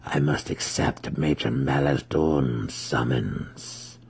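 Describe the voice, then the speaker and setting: A man reading a story aloud, voicing a mocking otherworldly demon handmaiden in a slow, overly smooth voice. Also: very deep voice, a little mellow, pitched below the performer's own voice, full character voice. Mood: mocking, calm.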